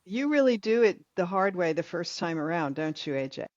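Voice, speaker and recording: A woman's voice: fairly low pitch, big pitch swings, a little fast, darkish tone, dry recording. A woman hosts a podcast interview, nudging her guest with playful short questions. The sound is dry and close, a little dull and lo-fi. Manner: playful, brief prompts. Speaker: podcast host.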